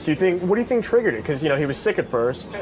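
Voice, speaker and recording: A man's voice: on the high side, very animated, very fast, a crowd in the background. A man asking prodding questions in short street interviews.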